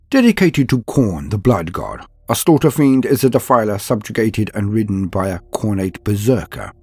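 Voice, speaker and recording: A man's voice: on the low side, a little mellow, slightly rough. A man reading scripted lore narration in a solemn, dramatic manner. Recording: music behind the voice. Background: background music.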